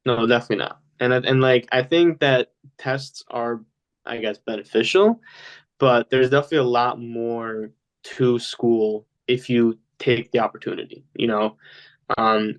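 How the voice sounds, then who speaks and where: A young man who grew up speaking Polish at home, talking casually as a podcast guest and rambling with many fillers. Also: medium pitch. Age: young adult. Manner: rambling, lots of fillers like "you know". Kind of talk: casual interview talk.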